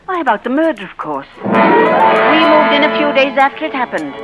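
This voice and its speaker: A woman's voice: high voice, wildly swooping pitch, normal speed. An actress playing a brusque, nosy working-class woman who calls people dearie, in a 1940s radio drama. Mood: gossipy. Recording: old radio broadcast with music.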